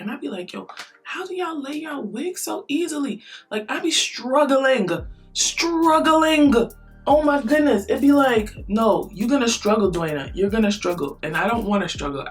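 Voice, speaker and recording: A woman beauty vlogger who just woke up, chatting to camera with a low morning voice in a rambling, playful way. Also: lively intonation, normal speed, soft background music. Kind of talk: casual chat to camera.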